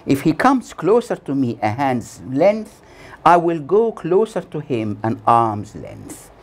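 A man in his sixties delivering a scripted sermon as a preacher, solemn and deliberate, with measured phrases and pauses. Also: fairly high pitch, huge pitch swings. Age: 60s. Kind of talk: scripted sermon read from notes. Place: small plain room indoors. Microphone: lapel mic.

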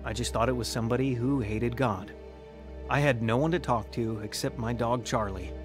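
An actor playing a grown man who soberly recounts his troubled youth in measured, plain radio drama narration. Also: mid-range voice, natural voice. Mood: sober, reflective. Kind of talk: scripted first-person radio drama narration. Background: music.

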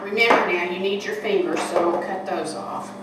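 A woman's voice: on the low side, fairly even intonation, normal speed. Chatty older woman in an echoey kitchen. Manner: homespun, chatty, talks while working. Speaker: cooking show host. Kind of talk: casual cooking demonstration. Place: large kitchen hall indoors. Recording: echoey room from far off, noisy.

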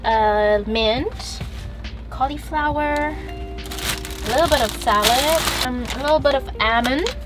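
A young woman who speaks as a chats her way through a van-life vlog, laughing easily and a little self-conscious. Her voice sits a bit high, with rain tapping on the van roof. Lo-fi background music plays under her. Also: slow. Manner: chatty, giggly, self-conscious. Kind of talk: casual vlog chat while cooking.

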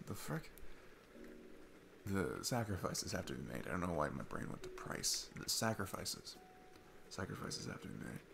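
A man's voice: low voice, creaky, noisy background, exaggerated voice. A man playing a sweet, nervous single dad in an intimate ASMR roleplay, rambling and hesitant in a casual, self-deprecating way. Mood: sheepish.

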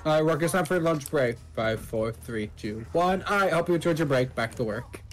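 A man chatting casually while playing a co-op video game, organizing his friends with halting restarts. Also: fairly high pitch, expressive. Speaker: gamer leading a co-op session. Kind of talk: casual gaming chat.

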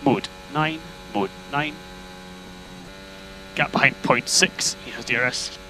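A man's voice: medium pitch, slow pace. A man working as a race engineer, giving terse, repeated instructions over motor racing team radio. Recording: noisy team radio with electrical hum.

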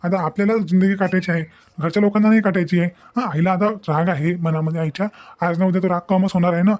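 Cartoon young husband, played by a man: high voice, even monotone delivery, earnest, reassuring and insistent. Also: quick pace, lightly acted. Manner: explanatory, insistent, repetitive. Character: devoted, reassuring young groom, firm and earnest. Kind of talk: cartoon family melodrama acting.

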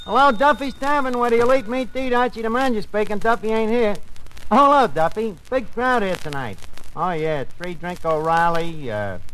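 An actor playing a wisecracking tavern manager on the telephone in 1940s radio comedy, with colloquial, mangled quips. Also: male voice, high-pitched, moderate pace, fairly bright tone. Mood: breezy, wisecracking. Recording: noisy 1940s radio broadcast with clicks.

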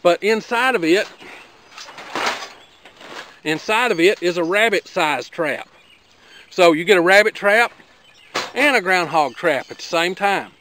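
A middle-aged man with an Appalachian accent and a fairly high voice, speaking outdoors. He talks in a folksy, plainspoken way, rambling a little and dropping in fillers as he demonstrates. Birds and rural sounds carry behind him. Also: expressive, a little slow, pauses often, bright, forceful tone, a little hiss or hum. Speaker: homesteader vlogger. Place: outdoors by a garden.

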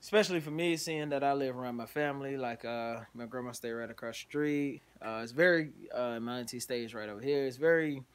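A man's voice: medium pitch, a little fast, hardly any pauses, smooth. A man, likely in his thirties, casually talking through a home cooking vlog in a laid-back, rambling way, filmed on a phone held at arm's length in a small kitchen. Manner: laid-back, rambling, lots of "you know what I'm saying". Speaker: home cook vlogger. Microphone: phone camera held at arm's length.

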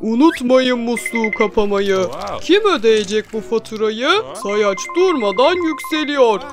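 A woman's voice: a little high, animated, unhurried, music in the background. A woman voicing a practical, thrifty lion parent in a children's cartoon, instructive and exaggerated.

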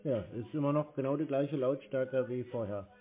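A man arguing casually on a phone call in a curt, provocative deadpan. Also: mid-range voice, normal speed, soft background music. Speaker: prank call recipient baiting scam callers. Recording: tinny phone-like call audio.

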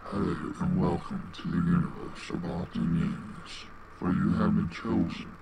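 A man voicing an ominous otherworldly announcer, theatrical, with a deep gravelly voice electronically pitched down and layered. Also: steady tone. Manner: slow, theatrical welcome. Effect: pitch-shifted down, layered, processed. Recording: very noisy, muffled, processed home recording.

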